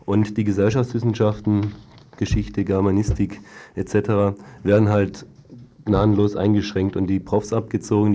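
A man's voice: fairly low pitch, warm tone. A young man answering informally in an interview as a student activist. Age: young adult.

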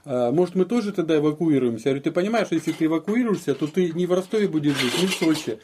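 A man's voice: a little high, animated, rapid-fire, background noise. A man giving an explanatory account of his own experience in a casual interview, repeating phrases for emphasis. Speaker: interviewee, eyewitness.

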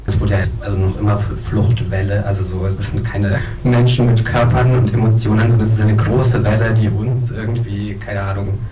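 A man's voice: on the low side, level tone, normal speed, few pauses, a crowd in the background. A man giving informal, opinionated commentary at a film talk, restarting his phrases as he goes. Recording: echoey, distant mic, clear background noise.

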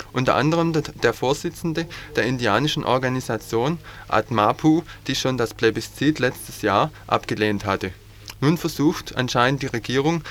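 A man with an ordinary mid-range voice reads the news from a script. His delivery is earnest and steady, like a bulletin on 1980s community radio. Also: medium pitch. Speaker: news reader.

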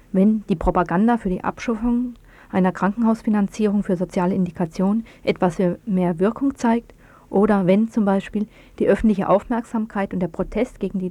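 A woman's voice: mid-range voice, dark tone, dry recording. A woman presenting on the radio, reading a scripted news report.

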